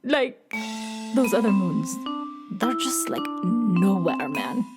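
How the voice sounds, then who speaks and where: Woman as a slow-drawling beatnik cat girl. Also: mid-range voice, expressive, loud music behind the voice. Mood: cool, unbothered. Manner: drawling, hipster slang. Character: laid-back beatnik cat girl from the moon, too cool. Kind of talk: children's radio theater acting.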